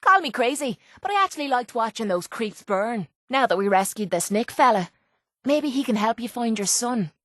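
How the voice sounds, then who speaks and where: A woman's voice: a little high, lively intonation, moderate pace, a bit bright, dry recording, clean studio sound. A woman playing a tough, cocky young brawler in a video game, with an Irish accent and a blunt, sardonic manner. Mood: brash, sardonic. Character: tough, brash, foul-mouthed young woman brawler and mercenary. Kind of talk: video game companion dialogue.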